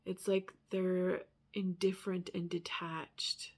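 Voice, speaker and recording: A woman giving a casual, rambling tarot reading in a candid, musing manner, sometimes trailing off into hushed asides. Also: fairly low pitch, little pitch movement, slow pace. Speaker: tarot reader.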